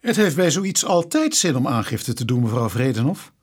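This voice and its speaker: An actor playing an earnest police inspector in a Dutch radio drama, formal and careful, polite but pressing. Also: male voice, medium pitch, sing-song, moderate pace, breathy edge. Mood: polite, probing.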